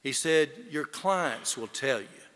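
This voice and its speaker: A man's voice: a little high, lively intonation, takes it slowly, a bit bright. Folksy man speaking in a reverberant hall. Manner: folksy, storytelling, emphatic, addressing the audience. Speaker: eulogy speaker, fellow lawyer. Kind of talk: eulogy speech with folksy anecdotes. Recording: echoey hall with long reverb.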